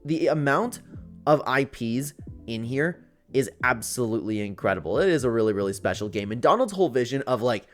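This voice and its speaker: A man's voice: mid-range voice, lively intonation, a little fast, faint music behind. A young man giving casual, chatty YouTube-style gaming commentary, talking informally to his viewers. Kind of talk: casual commentary reacting to read-out viewer posts.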